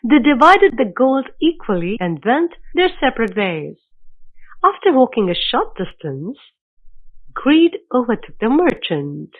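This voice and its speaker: A woman's voice: on the high side, big pitch swings, unhurried. A woman narrating a scripted children's moral story as the storyteller. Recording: narrow, phone-like lo-fi sound.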